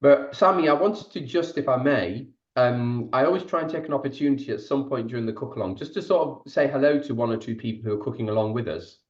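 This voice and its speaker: A man's voice: medium pitch, fast, hardly any pauses, reverberant, highs cut off. A man hosting an online cook-along, chatting politely with guests over a video call. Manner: polite, asks guests questions. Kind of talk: casual video-call chat.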